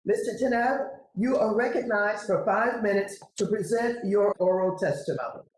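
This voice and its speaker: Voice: medium pitch, normal speed, a little hiss or hum. Firm committee chair in an echoey room. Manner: firm, procedural. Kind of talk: presiding over a hearing.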